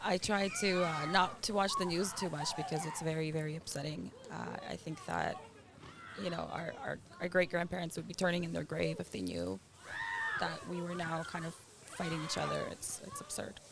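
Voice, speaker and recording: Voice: mid-range voice, lively intonation, normal speed, creaky. An adult parent who was born in Russia and grew up in Israel and Canada, talking earnestly and thoughtfully as a stranger interviewed outdoors, laughing often. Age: adult with children. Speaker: interviewee, stranger met on the street. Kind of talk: casual conversation. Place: outdoors in a public area. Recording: busy crowd noise behind.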